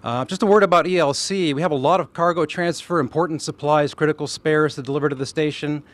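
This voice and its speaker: Astronaut at a press event, eager and rambling, lots of fillers, plain mid voice. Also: male voice, fairly high pitch, steady tone, fast talker. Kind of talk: press statement, loosely spoken.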